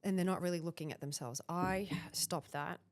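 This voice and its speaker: Confident Australian woman, lowish voice. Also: normal speed, a little hiss or hum. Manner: confident, direct, animated storytelling. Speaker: personal branding guest. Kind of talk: casual interview chat.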